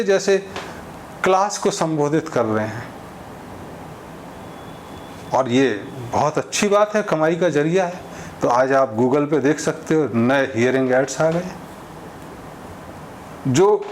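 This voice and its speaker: A man's voice: a little high, a little hiss or hum. A man delivering an informal opinion monologue in a conversational way, explaining with everyday examples and imagined exchanges. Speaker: speaker giving social commentary.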